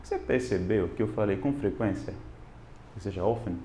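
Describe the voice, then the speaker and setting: A man's voice: on the low side, moderate pace, reverberant, slight background noise. A man teaching English in a casual video lesson, speaking Portuguese as a second language and mixing in English examples. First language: non-Portuguese. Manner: animated and expressive, switching between Portuguese and English.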